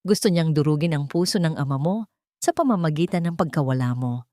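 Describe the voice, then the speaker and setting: A woman storyteller acts out a frail old Filipino man in an audiobook melodrama. He is grim, fearful and bitter, with a moving pitch and a dry, close sound. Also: lively intonation, a little fast, warm tone, no echo. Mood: bitter confession.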